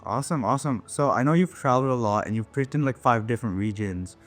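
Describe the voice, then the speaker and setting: Fast-talking male podcast host. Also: mid-range voice, fairly bright tone. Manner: brisk, affirming. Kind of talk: podcast interview.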